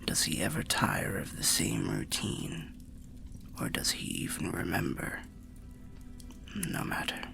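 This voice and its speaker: Wanted: male actor for an old undead guide in fantasy ASMR roleplay, kindly and cautionary, slow gravelly delivery, breathy whispering. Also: deep voice, full character voice. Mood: musing, cautionary. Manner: slow, rambling, archaic, gently cautionary storyteller. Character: weary, kindly old undead warrior soul, cryptic guide.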